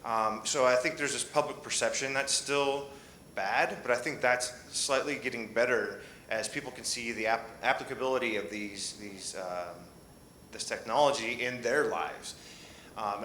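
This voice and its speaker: A man's voice: mid-range voice, moderate pace, sharp tone, reverberant, slight background noise, low-quality recording. A man answering questions on a conference panel, speaking with many fillers and restarts.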